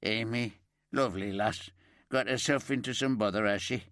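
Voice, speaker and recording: A man voicing a grieving old ex-miner from Yorkshire who keeps birds, in a broad homely Yorkshire accent, pitched above his own narrating voice. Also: normal speed, no echo, clean studio sound, lightly acted. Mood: fond. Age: older. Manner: broad and homely, higher and warmer than the narrator.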